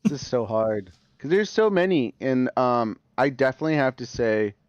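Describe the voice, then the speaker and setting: A man's voice: mid-range voice, expressive, normal speed, smooth, no room sound. Rambling man on a dull, lo-fi mic. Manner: rambling, full of fillers and restarts. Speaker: podcast guest. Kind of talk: casual podcast chat.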